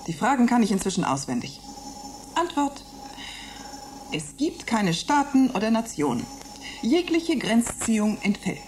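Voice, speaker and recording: A woman's voice: fairly high pitch, darkish tone, slight background noise. An actress playing a bored, rebellious older woman in a radio play, grumbling and reciting with mocking scorn.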